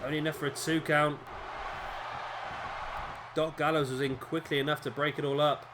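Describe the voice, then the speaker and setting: A man, his voice a little hoarse, doing casual play-by-play commentary over a wrestling video game, rambling with fillers and correcting himself. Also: on the high side, flat delivery, moderate pace, noisy background. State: slightly hoarse, losing his voice. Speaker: gameplay commentator.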